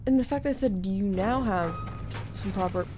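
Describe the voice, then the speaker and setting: A woman's voice: medium pitch, brisk, noisy background. A woman in her thirties giving casual, wry and sarcastic reaction commentary as a gaming host. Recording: game soundtrack behind voice.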